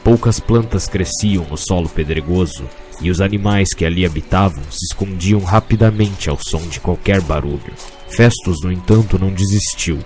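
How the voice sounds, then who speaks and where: Low-voiced man narrating a story. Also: normal speed, a little mellow, soft background music.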